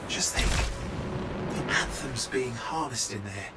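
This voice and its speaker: An actor playing an excitable, curious young male support operator in a video game, eager and given to wry asides. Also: mid-range voice, takes it slowly, close to an everyday voice. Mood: excited wonder. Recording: loud game music behind the voice. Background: loud music, vehicle sounds.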